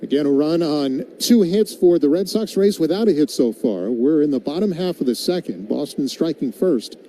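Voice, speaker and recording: A man's voice: on the high side, animated, normal speed, dark tone, low-quality recording. A man doing live radio play-by-play commentary of a baseball game as the announcer. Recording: background noise of an outdoor ballpark.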